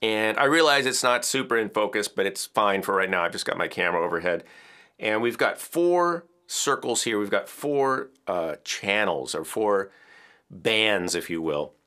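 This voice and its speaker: A man in his fifties with a bass-baritone voice, casually explaining audio equipment in a home studio. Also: moderate pace. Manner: relaxed, chatty explaining with gestures and small laughs. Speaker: music educator. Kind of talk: hands-on audio tutorial. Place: home music studio, indoors. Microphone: headphones on, studio mic off camera.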